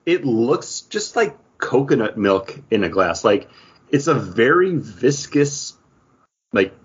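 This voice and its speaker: A man's voice: medium pitch, very animated, slow pace, breathy edge, highs cut off. A man hosting a podcast in an enthusiastic, casual, chatty way. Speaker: podcast host. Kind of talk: casual chat.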